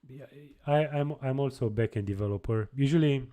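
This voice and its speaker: A young man chatting casually on a live coding stream, halting and restarting his sentences as he answers viewers. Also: mid-range voice, warm tone. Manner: halting, many restarts and repeated words.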